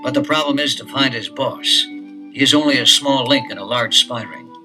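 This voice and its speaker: A calm, authoritative middle-aged detective, played by an actor in an old record-album drama. He speaks steadily and firmly, with a mid-range voice. Clear music plays behind him. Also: mid-range voice, moderate pace, close to an everyday voice, low-quality recording.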